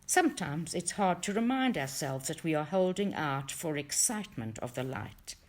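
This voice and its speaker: A woman narrates a book aloud in a low voice. Her intonation swings widely, and she places deliberate, expressive emphasis on key words as she reads. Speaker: audiobook reader for a study group.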